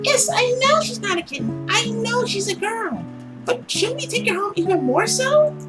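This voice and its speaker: A very high, childlike little girl from a visual novel. She is earnest and chatty, pleading and rambling on in a swooping voice, with music underneath. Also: very animated, moderate pace. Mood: insistent. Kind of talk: visual novel character read-aloud.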